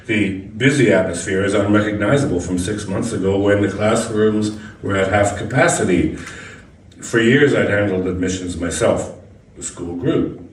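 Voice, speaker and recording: A man's voice: lowish voice, moderate pace. A man reading a first-person short story aloud as scripted narration, now and then stumbling over a word. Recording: echoey room, distant mic, background noise.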